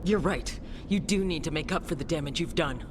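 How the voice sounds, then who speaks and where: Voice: fairly quick, lightly acted. An actor playing an earnest, resolute video game hero, speaking firmly and directly. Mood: firm appeal. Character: earnest, resolute hero pleading with a former ally. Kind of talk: dramatic video game cutscene acting. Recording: loud ambient rumble behind voice.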